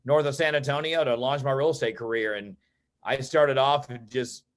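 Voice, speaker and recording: An American man from Texas tells personal stories as a guest in an online meeting, in an informal, animated way that often acts out dialogue. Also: medium pitch, moderate pace. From Texas, United States. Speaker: interview guest, real estate agent and coach.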